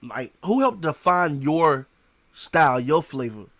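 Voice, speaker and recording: A man hosts a relaxed podcast interview with a slightly high voice. His talk is loose and full of slang and filler phrases. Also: unhurried, no echo. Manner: laid-back slangy talk, many "you know what I'm saying" fillers. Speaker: podcast host. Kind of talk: casual interview.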